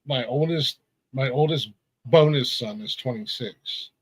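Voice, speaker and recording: A man's voice: medium pitch, a little slow, breathy edge, no room sound. Rambling, laughing podcast host man. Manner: rambling, stammering, laughs often. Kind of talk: casual chat.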